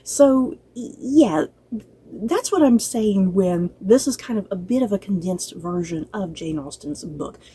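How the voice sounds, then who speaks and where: A woman's voice: medium pitch, animated, measured pace, dark tone, husky. A woman giving a casual, unscripted review to viewers as a channel host, chatty and rambling, often circling back to repeat herself.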